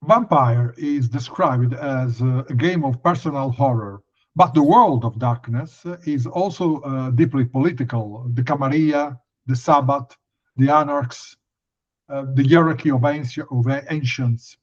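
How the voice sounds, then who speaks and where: A man interviewer putting prepared questions in a measured, slightly halting way. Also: medium pitch, highs cut off.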